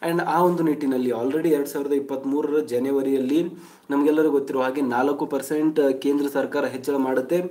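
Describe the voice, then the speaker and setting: A man's voice: medium pitch, dark tone. A man giving a news explainer as a voice-over, reading from an article and mixing English words into his talk. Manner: reads aloud, mixing English words into Kannada. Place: voice-over over a screen recording.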